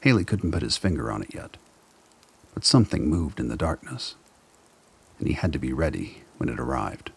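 A man's voice: very deep voice, very animated, moderate pace, vocal fry, pauses often, husky. A man narrating a scripted radio drama as a storyteller.

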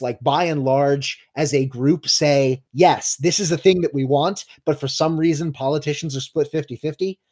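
A man's voice: on the high side, darkish tone. An American man co-hosting a podcast in casual conversation, explaining things in a discursive, wry way. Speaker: podcast co-host.

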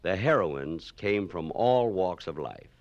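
Deep-voiced male narrator. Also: lively intonation, takes it slowly, dry recording, muffled recording. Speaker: compilation host. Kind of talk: scripted documentary narration.

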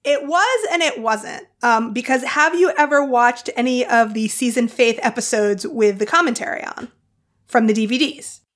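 A woman's voice: fairly high pitch, normal speed, fairly bright tone. A woman from Rhode Island with a New England private-school accent, co-hosting a podcast with wry, teasing, self-deprecating chat. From Providence, Rhode Island, USA. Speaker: podcast co-host.

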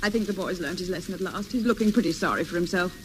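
An actress playing a sensible, exasperated companion, fond but firm, in old British radio comedy. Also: female voice, fairly low pitch, quick pace, natural voice. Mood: pitying. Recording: very noisy old radio recording.

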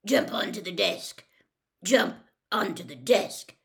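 A woman voicing a gruff, grizzled old male wizard teacher who growls and barks his commands loudly and slowly. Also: medium pitch, very animated. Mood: barking. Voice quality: growling, gravelly. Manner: barking commands, loud and slow.

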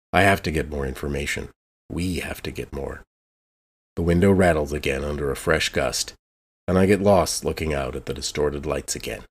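An extremely deep, husky male voice as a man acts out a haunted, suspicious recluse. He narrates his private thoughts like a noir drama, brooding in short, clipped phrases. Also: full character voice. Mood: weary, guarded. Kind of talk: first-person noir monologue, scripted.

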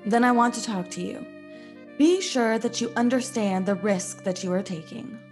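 A woman's voice: a little high, animated, moderate pace, a little mellow, faint music behind. A woman playing a solemn, authoritative military superior in 1940s-style radio drama. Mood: grave, commanding. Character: grave, commanding military superior in a wartime radio serial.

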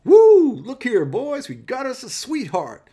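A man's voice: extremely high pitch, huge pitch swings, unhurried, dark tone, breathy edge, pitched above the performer's own voice, heavily acted. A man voicing a swaggering, foul-mouthed gang leader with a leering, bossy, folksy drawl in a radio play.

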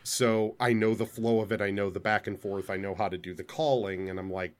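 A man's voice: fairly low pitch, fairly even intonation, a little fast. A man chatting casually on a gaming podcast, eager and restarting his words. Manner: enthusiastic, repeats words when starting.

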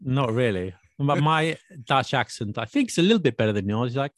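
A man's voice: mid-range voice, expressive, fairly quick, no room sound. Male host, chatty teacher type, dry close mic. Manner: chatty, explanatory, laughs often. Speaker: podcast host and English teacher. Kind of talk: casual chat. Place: Paris.

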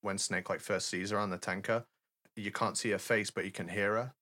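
Very deep, bright-toned man. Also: fairly even intonation, brisk. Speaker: podcast co-host. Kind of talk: casual chat.

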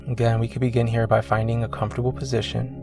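A man guides a meditation in a very even, level voice with a gravelly edge. He speaks slowly and soothingly, leaving long pauses, over prominent ambient new-age music with singing bowls. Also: medium pitch. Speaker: meditation coach guiding a session.

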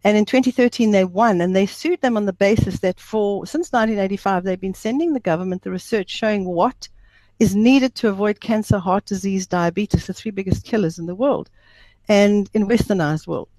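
A woman's voice: mid-range voice, a little fast, a little mellow, no echo, rough recording. A middle-aged South African woman with a South African accent, talking at length and with emphasis as an interview guest. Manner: emphatic, talkative, explains at length. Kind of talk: interview conversation.

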